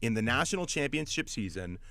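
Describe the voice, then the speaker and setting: A man's voice: medium pitch, big pitch swings, brisk, fairly bright tone, dry recording. A man hosting sports talk radio, giving opinionated analysis emphatically and often repeating words as he gets going. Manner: emphatic, stammering repetitions. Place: radio studio.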